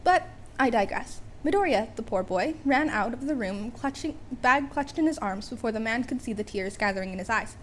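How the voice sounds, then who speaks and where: A woman's voice: fairly high pitch, expressive, normal speed, slight background noise. A woman reading a scripted comic story as an amateur narrator, wry in manner, sometimes stumbling and rereading phrases. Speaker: amateur audiobook reader.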